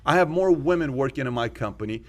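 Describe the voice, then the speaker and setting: Male podcast host from Iran, conversational and opinionated, medium pitch, arguing through questions and personal anecdotes. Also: animated, fast talker. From Iran, later Germany and America.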